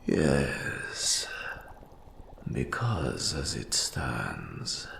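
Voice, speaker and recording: A man voicing an ancient, scholarly specter who mentors an apprentice in a fantasy audio roleplay, speaking in a whispery, gravelly rasp with slow, formal, archaic phrasing. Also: rumbling low, fairly bright tone, roomy sound, slight background noise, full character voice. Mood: grave, measured. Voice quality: whispery, gravelly, breathy rasping gasps. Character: eldritch accursed specter, ancient scholarly male mentor of curse magic.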